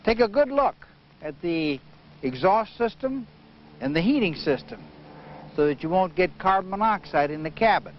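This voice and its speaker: A man giving methodical, measured instruction in the manner of a training film, as a flight safety instructor. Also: high voice, lively intonation. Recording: muffled noisy vintage training film soundtrack.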